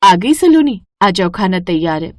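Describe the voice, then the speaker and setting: A woman voicing a gentle, caring and faithful wife in a cartoon moral story. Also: medium pitch, sing-song, a little slow, background noise. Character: gentle, faithful waiting wife and sister-in-law.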